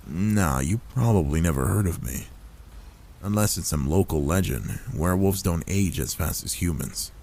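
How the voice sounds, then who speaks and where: A man voicing an older werewolf, a gruff but gentle protective loner, in a soft, intimate ASMR roleplay with a soothing, fatherly manner. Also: deep voice, expressive, full character voice. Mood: wry, guarded. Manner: soothing, paternal, unhurried reassurance.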